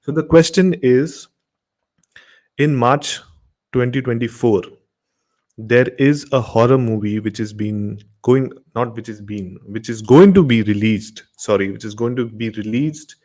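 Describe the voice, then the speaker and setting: A man's voice: medium pitch, unhurried, a little mellow. Indian-accented man hosting a horror show. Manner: repeats words and phrases. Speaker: host of a horror radio show. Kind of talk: informal show hosting and storytelling.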